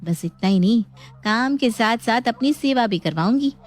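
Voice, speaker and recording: A woman's voice: a little high, animated, moderate pace. A woman playing a put-upon young daughter-in-law, polite yet scheming, in an acted moral story. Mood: gloating.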